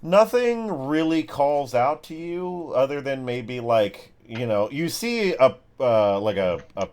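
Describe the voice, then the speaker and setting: A man narrating a tabletop game as game master, casually improvising in a halting way with frequent restarts. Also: mid-range voice, lively intonation, a little mellow. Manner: halting, loosely conversational, many mid-sentence restarts.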